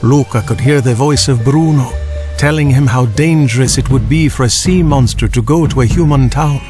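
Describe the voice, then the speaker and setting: A man's voice: medium pitch, normal speed, music in the background. A man reading a children's storybook as a scripted audiobook narrator.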